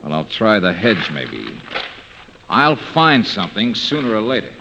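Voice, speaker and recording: An actor playing a pushy, wisecracking amateur sleuth, slangy and needling in 1950s radio drama style. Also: male voice, lowish voice, very animated, a little slow, vocal fry, full character voice. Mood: needling, dogged. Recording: dull vintage radio recording, faint noise.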